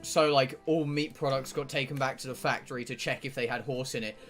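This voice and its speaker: A man's voice: medium pitch, fairly even intonation, fairly quick, a bit bright, faint music behind. A man from England with a southern English accent, chatting casually and bluntly on a livestream, rambling and swearing freely. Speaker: game streamer.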